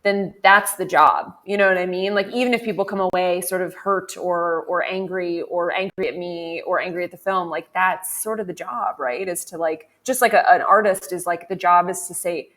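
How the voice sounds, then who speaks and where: A woman's voice: on the low side, steady tone, moderate pace, few pauses, fairly bright tone. A woman hosting a podcast panel, talking casually as a film curator, rambling with many fillers.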